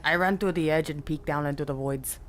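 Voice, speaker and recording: A woman with a very low-pitched voice plays a tabletop game. She states her character's actions in short, plain sentences, with clear background noise. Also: brisk.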